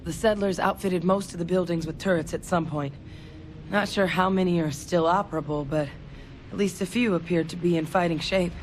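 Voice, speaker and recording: An actress in a video game playing a tough, terse female field operative who gives tactical orders in a businesslike, measured way. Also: fairly low pitch, steady tone, a little hiss or hum, lightly acted, low-quality recording.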